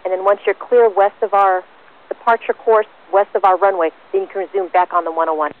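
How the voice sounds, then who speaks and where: A woman's voice: medium pitch, fairly even intonation, brisk. Tinny radio woman, air traffic controller. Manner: clipped, procedural phrasing. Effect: aviation radio. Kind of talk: brisk radio instructions to pilots. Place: airport control tower, heard over radio. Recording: tinny, narrowband aircraft radio transmission.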